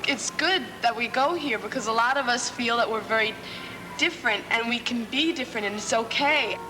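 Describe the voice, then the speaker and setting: A woman's voice: fairly high pitch, brisk, music in the background. A young woman talking casually as a drama student in a group interview. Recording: reverberant hall, old tape.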